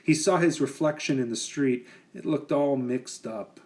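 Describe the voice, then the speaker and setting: A man reading a children's picture book aloud with expressive, dramatic phrasing. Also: medium pitch, a little slow.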